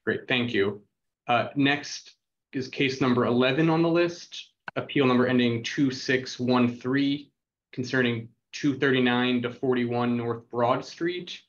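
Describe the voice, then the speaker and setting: A man's voice: medium pitch, measured pace, a little hiss or hum. A man representing the city addresses an appeals board over a video call. He talks in a businesslike way and reads out case numbers and addresses. His call audio is dull and lo-fi. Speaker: city attorney. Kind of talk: formal hearing remarks.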